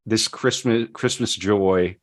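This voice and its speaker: A man's voice: on the low side, takes it slowly. A man hosting a podcast in casual, playful banter with a jokey, theatrical way of talking. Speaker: podcast host.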